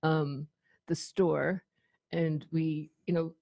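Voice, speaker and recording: A woman talking casually as an interview guest, telling anecdotes in an animated, conversational way and acting out bits of dialogue. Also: low-pitched, takes it slowly, vocal fry, dry recording, clean studio sound. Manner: animated storytelling, quotes imagined dialogue. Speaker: radio show guest, former tour manager.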